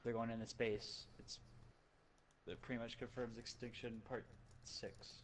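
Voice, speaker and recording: A man on a gaming panel calmly lays out game lore and theories. His voice is middle-pitched, with faint noise behind it on his line. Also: measured pace. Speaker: co-host panelist. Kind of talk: casual gaming chat.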